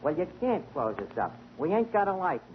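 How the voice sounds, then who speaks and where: Slangy bar manager who mangles big words, muffled old radio. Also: male voice, sits high, big pitch swings, fairly quick. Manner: slangy, fumbles fancy words. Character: wisecracking streetwise male tavern manager. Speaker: tavern manager. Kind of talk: 1940s radio comedy acting. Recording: muffled, very hissy 1940 radio broadcast.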